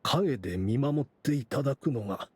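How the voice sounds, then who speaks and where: An actor playing an aging, weary, grave man with a husky, worn voice in a Japanese game. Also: medium pitch, lively intonation, moderate pace, a little mellow, no room sound. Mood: grave, deliberate. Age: older.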